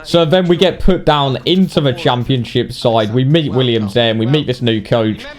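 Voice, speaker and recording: Sweary British lad narrating game highlights. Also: male voice, normal speed. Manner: sweary, blokey, mock-indignant. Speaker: gaming video narrator. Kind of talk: casual game highlights commentary.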